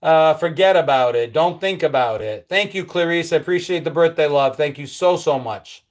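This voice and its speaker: A middle-aged man from upstate New York chats casually on his live stream, reading out viewer comments and answering them. His voice sits a little high for a man, and his easygoing, nostalgic manner drifts into soft, trailing endings. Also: moderate pace. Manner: nostalgic, easygoing, with frequent ums and trailing drops. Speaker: livestream host. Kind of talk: casual live-chat reminiscing.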